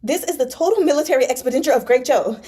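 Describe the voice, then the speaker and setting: A woman's voice: sits high, animated, fast, mellow, voice pushed up. A woman voicing a seething, cornered clan man in a dramatic novel read-aloud, ranting bitterly with exasperated, emphatic outbursts. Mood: seething, incredulous.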